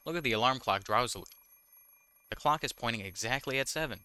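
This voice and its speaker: A man's voice: medium pitch, quick pace, pushed and bright, clean tone, no echo. A man reading visual novel text aloud with casual, wry commentary, sometimes stumbling over the words. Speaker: gaming YouTuber, host.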